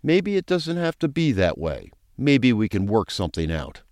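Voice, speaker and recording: A male lawman played by an actor in a crime audio drama. He is pushy and persuasive, with animated pitch movement and a dry, close sound. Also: normal speed, no room sound. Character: smooth-talking, pushy male lawman striking deals.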